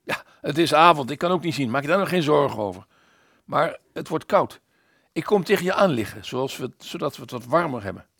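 Acteur als jonge, hoge piloot, kameraadschappelijk. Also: male voice, fairly high pitch, animated, a little fast, gravelly, fairly bright tone, breathy edge, no echo, studio quality, full character voice. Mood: calm, caring. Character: Pieter, loyal British fighter pilot, jovial and protective. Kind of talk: radio play acting.

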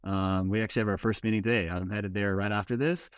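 Man, low voice, plain practical explaining, dry close mic. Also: steady tone, fast, smooth, dry recording. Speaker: guest pastor. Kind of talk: casual podcast conversation.

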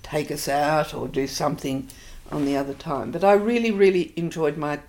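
Older Australian woman, low and gravelly. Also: steady tone, moderate pace, darkish tone. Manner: reflective, hesitant with many fillers. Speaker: interviewee. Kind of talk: oral history interview.

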